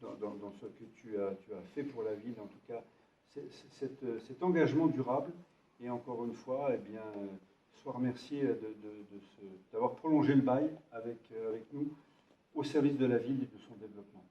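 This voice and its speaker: A man's voice: medium pitch, brisk. A man acting as mayor, introducing local volunteers to a gathering with frequent hesitations and friendly jokes. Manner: hesitant, repeats words, jovial. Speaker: mayor hosting a town meeting. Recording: echoey room, distant from mic, dull sound.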